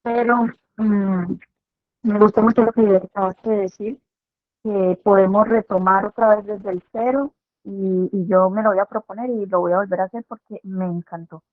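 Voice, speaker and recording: Una mujer que cuenta su experiencia en una clase en línea, hablando con vacilaciones y muchos "eh". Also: female voice, mid-range voice, fairly even intonation, normal speed, no echo. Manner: hesitant, with many "eh" fillers. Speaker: student giving a testimony. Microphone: video call. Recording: tinny phone-like video call audio.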